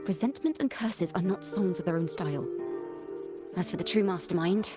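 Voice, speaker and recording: A woman playing a thoughtful, earnest young traveling companion in a video game, speaking in a lyrical, measured way. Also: on the low side, over loud music, lightly acted. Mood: serious, reflective. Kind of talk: scripted video game dialogue.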